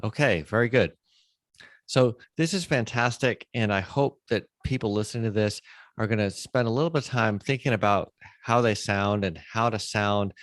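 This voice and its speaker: A man's voice: lowish voice, moderate pace, no room sound. A man hosting a podcast in a conversational style, recapping and putting points plainly. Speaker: podcast host.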